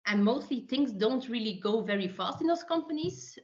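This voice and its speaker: A woman from Belgium talks freely as a podcast guest, rambling warmly through long explanations with frequent restarts. Her voice sits slightly high, and it comes through a dull, lo-fi call line. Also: animated, normal speed. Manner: chatty, many restarts and fillers, talks in long runs. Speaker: guest, project manager and consultant. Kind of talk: casual interview talk.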